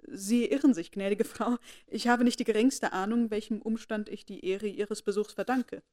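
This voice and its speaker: A woman voicing a composed young woman who answers in a calm, cool and quietly defiant way. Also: mid-range voice, moderate pace, natural voice.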